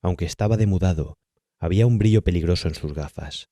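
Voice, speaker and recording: Deep, mellow Castilian man narrating. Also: fast, dry recording, studio quality. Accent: Castilian Spanish. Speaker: audiobook narrator. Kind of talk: scripted literary narration of a horror tale. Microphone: close, dry studio-style microphone.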